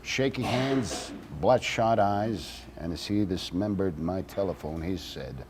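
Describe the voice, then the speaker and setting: A man acting a theatrical monologue as a wry former activist, speaking deliberately with dramatic pauses. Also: low voice, lively intonation, noisy background. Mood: wry, measured. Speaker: actor reading a monologue.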